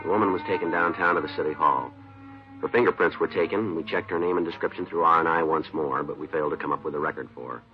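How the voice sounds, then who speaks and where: An actor playing a terse police detective in a 1950s radio crime drama, clipped and matter-of-fact, on a dull vintage recording. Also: male voice, extremely low pitch, quick pace, soft background music. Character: terse, businesslike male police detective, narrating his case.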